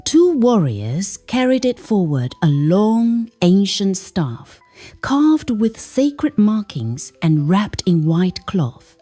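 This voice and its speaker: A woman's voice: mid-range voice, very animated, slow, warm tone, faint music behind. A woman narrating a folktale in a dramatic, scripted storytelling style.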